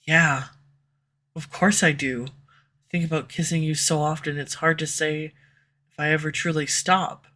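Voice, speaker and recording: A woman's voice: sits low, steady tone, unhurried, long pauses, pushed and bright. A woman voicing a flustered tsundere female villain in love, in an audio roleplay for a listener, defensive at first and then tender. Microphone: USB condenser microphone at home.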